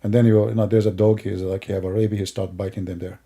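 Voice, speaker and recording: Gravelly, mocking middle-aged man. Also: on the low side, flat delivery, fast talker, dark tone. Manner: mocking, rambling, with broken grammar. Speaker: commentator. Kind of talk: sarcastic commentary over video clips.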